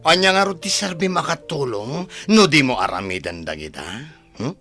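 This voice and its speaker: A man's voice: on the high side, very animated, moderate pace, sharp tone, full character voice. A man playing an arrogant, scheming spoiled rich son in an Ilocano radio drama, haughty and domineering. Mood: irritated, haughty. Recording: dry studio, music underneath.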